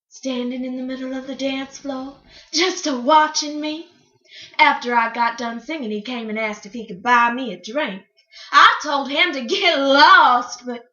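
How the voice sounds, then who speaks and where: A woman performing a dramatic monologue as a chatty, wistful country singer, telling her story in a folksy, theatrical way with hesitant pauses. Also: fairly high pitch, bright, forceful tone. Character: wistful, chatty female country singer, dreamy yet defiant. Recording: roomy, slightly dull recording.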